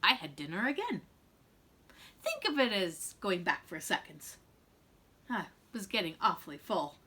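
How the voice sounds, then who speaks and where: Woman as an animated, self-justifying storybook wolf. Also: lowish voice, husky, voice pushed down, exaggerated voice. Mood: chatty, self-justifying. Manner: theatrical, drawn-out emphasis, conversational asides. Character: self-justifying male wolf telling his side, folksy and dramatic. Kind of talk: animated storybook read-aloud for children.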